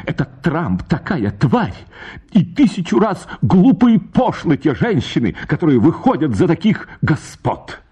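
A man's voice: fairly high pitch, huge pitch swings, unhurried, mellow, slightly rough, pitched above the performer's own voice, exaggerated voice. A man voicing an indignant, naive young wife who moralizes emphatically in a dramatized short story. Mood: angry, righteous.

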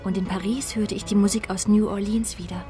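A woman's voice: mid-range voice, steady tone, fairly quick, close to an everyday voice. An actress in a radio drama playing a romantic woman in love, reminiscing in a lyrical, dreamy way. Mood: dreamy, tender. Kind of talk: first-person radio drama narration. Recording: music playing behind the voice.

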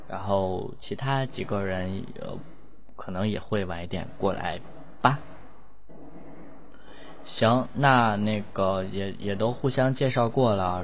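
Low-voiced man hosting a casual chat. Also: a little hiss or hum. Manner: playful, teasing, rambling. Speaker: host and lead voice actor of an audio drama.

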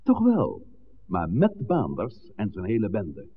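A wily village priest, animated delivery. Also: male voice, fairly low pitch, heavily acted. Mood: resolute. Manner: measured, formal, genteel speech. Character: calm, scheming village priest, wily and courteous. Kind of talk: 1960s Dutch radio play acting. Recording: muffled, faintly noisy 1960s radio recording.

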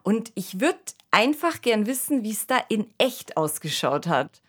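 A woman's voice: medium pitch, sing-song, a little slow, husky. A woman co-hosting a podcast in an easy conversational style. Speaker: podcast co-host.

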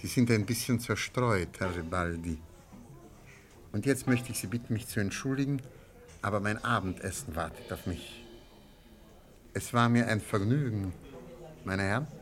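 An actor playing an elderly, formal and shrewd antiques dealer who reads horoscopes, in a measured German radio drama style. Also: male voice, fairly low pitch, lightly acted. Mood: polite, knowing. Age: older. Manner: formal, measured, politely ornate. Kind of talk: German radio play acting.

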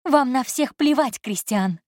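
A woman's voice: high-pitched, expressive, a little slow, darkish tone, no room sound, clean studio sound, pitched above the performer's own voice. A woman voicing a proud, stubborn young woman who answers her employer with defiance and cutting emotion. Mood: indignant.

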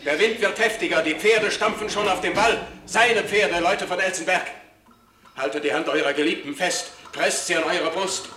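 An actor playing an urgent town announcer proclaiming ominous warnings over a loudspeaker in a radio play. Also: male voice, high voice, normal speed, a little hiss or hum. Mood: urgent, mounting alarm. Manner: proclaiming, ominous, repetitive calls. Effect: public address loudspeaker. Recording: distant echoing loudspeaker announcement. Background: music.

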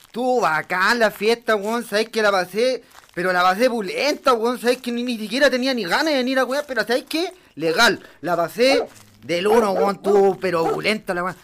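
A man's voice: high voice, fairly quick, a bit bright, slight background noise. A man with a Chilean accent playing a slangy young shantytown labourer in an amateur audio drama. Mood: tipsy, confiding. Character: drunk, slangy twenty-year-old construction worker from a shantytown.

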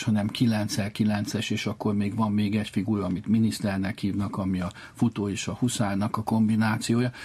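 A man's voice: on the low side, darkish tone, husky. A man, a chess expert guest, musing in a loose, searching way. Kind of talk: reflective spoken commentary.

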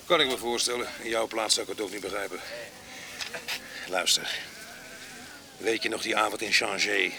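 Low, creaky, vengeful ex-convict. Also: male voice, steady tone, normal speed, pauses often, noisy background. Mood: taunting, brooding. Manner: brooding, dramatic storytelling. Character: rough, embittered ex-convict grape picker bent on revenge. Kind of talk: radio drama acting.

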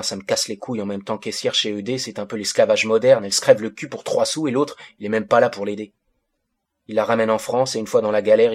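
A man's voice: lowish voice, slightly rough. A man reading an audiobook as an angry fifteen-year-old boy from the housing projects, speaking bitter street slang in agitated bursts. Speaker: audiobook reader. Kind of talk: first-person audiobook monologue.

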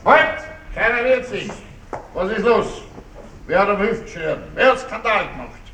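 An actor playing a stern, suspicious police commissioner in a German radio play, commanding and interrogating. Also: male voice, sits high, expressive, moderate pace. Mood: barking, commanding. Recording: roomy, slightly distant, hissy radio recording.

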